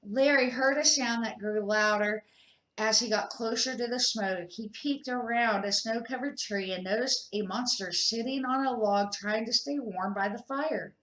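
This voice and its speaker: An older woman, a grandmother, reading a children's storybook aloud in an expressive amateur way. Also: fairly high pitch, moderate pace. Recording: echoey room.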